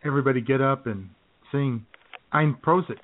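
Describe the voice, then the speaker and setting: A man's voice: mid-range voice, moderate pace, phone-quality sound. A man co-hosting a comedy radio show in casual banter, recorded with thin, band-limited sound.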